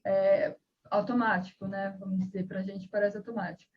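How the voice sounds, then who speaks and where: A woman's voice: mid-range voice, little pitch movement, moderate pace, slightly rough, lo-fi sound. A woman guest on a video call interview, speaking earnestly about raising a bilingual child, with many fillers and restarts.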